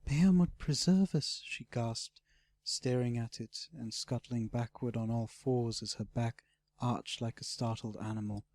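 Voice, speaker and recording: Guy reading a fantasy audiobook, dramatic storyteller delivery, medium pitch, no room sound at all. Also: level tone, a little slow, a little mellow, dry recording. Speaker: audiobook narrator. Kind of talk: scripted fantasy narration.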